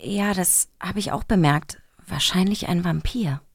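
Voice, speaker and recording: A woman's voice: fairly low pitch, measured pace, husky, no echo, studio quality. A woman voicing a hesitant, uneasy mother with a scared child in a children's radio play.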